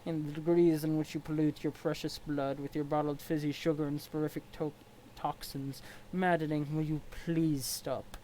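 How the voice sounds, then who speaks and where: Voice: mid-range voice, flat delivery, moderate pace, mellow, a little hiss or hum, pitched below the performer's own voice, lightly acted, rough recording. A reader voicing a stern, formal male troll with a pompous manner. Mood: contemptuous. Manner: formal, pompous, stiff diction. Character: stern, haughty male troll of great strength.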